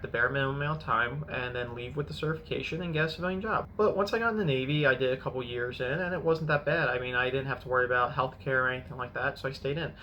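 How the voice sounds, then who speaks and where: A man in his mid-thirties talks through his past in an easy, meandering vlog style, stopping now and then to correct himself. His voice is bright and forward, with a faint hum of room ambience behind him. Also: mid-range voice, highs cut off. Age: 30s. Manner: rambling, self-correcting storytelling. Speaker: finance vlogger. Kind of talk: casual personal vlog.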